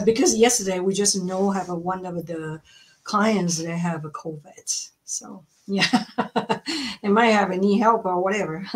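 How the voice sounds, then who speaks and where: A middle-aged woman from Taiwan with a Taiwanese accent, co-hosting a livestream in informal, rambling chat with broken phrasing. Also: medium pitch. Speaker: co-host, healthcare worker. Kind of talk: casual chat, self-introduction.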